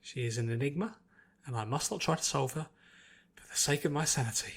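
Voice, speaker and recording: A man's voice: medium pitch, a little fast, husky. A man voicing a thoughtful, bookish young female pony spellcaster who halts and ponders as she reasons. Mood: exasperated.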